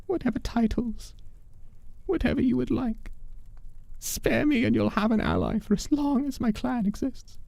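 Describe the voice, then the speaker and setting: A man's voice: sing-song, normal speed, gravelly, darkish tone, no echo, exaggerated voice. A man voicing a panicked young man who begs for his life, fawning and desperate. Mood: pleading. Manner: frantic, pleading, high and strained. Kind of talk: storytelling with character voices.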